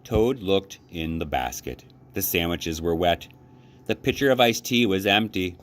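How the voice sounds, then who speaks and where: A man reading a children's storybook aloud as a library storyteller, with expressive, deliberate phrasing. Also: sits low.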